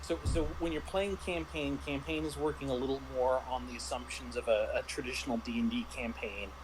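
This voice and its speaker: A man's voice: medium pitch, fairly even intonation, a little fast, slight background noise, low-quality recording. A man in a casual discussion, explaining like a game master and often rephrasing himself mid-thought.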